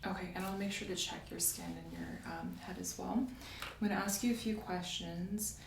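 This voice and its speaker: A soft-spoken woman doing an ASMR medical roleplay as a doctor, giving gentle, polite step-by-step instructions. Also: mid-range voice, fairly even intonation, moderate pace, gravelly, far from the mic. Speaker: ASMR performer playing a doctor. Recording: roomy sound, off-mic, faint hiss.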